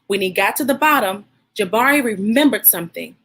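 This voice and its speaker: A woman hosting a children's read-aloud, speaking in an animated, encouraging way straight to her young listeners. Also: medium pitch, a little fast, husky.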